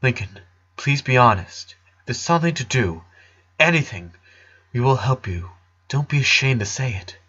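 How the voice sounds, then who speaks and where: An earnest father read by a male narrator speaks with gentle insistence in a story. He is composed but sorrowful, with a bright voice on a slightly dull recording. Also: mid-range voice, animated, moderate pace, husky. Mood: earnest concern. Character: composed, devoted father holding back grief.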